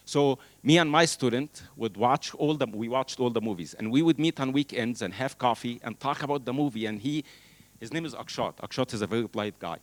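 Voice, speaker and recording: A middle-aged man originally from Egypt, a native Arabic speaker, giving an animated keynote talk on stage with a handheld mic, using many fillers. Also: mid-range voice, a little fast, no room sound. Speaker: keynote speaker, engineering professor. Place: large hall, on stage at a podium. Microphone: handheld microphone.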